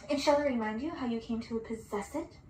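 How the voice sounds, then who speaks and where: Storybook enchantress, acted by a female reader: cold, solemn, menacing, high-pitched, slightly distant. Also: fairly quick, a little mellow, natural voice. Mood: firm. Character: stern, cold, angry enchantress laying a curse. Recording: distant roomy mic with background hiss.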